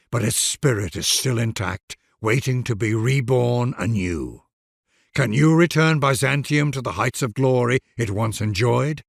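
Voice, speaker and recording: A man's voice: mid-range voice, gravelly, no room sound, studio quality. A man reading scripted grand historical narration for a video game in a solemn, formal, stately manner.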